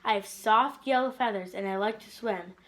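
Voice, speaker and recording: A woman reading a simple children's book aloud in a measured, deliberate way. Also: mid-range voice, fairly bright tone. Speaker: picture book reader.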